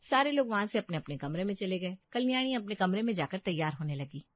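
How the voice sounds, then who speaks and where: A woman narrating a cartoon story in a plain, even storytelling manner. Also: mid-range voice, fast talker, dry recording. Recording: dull dry recording.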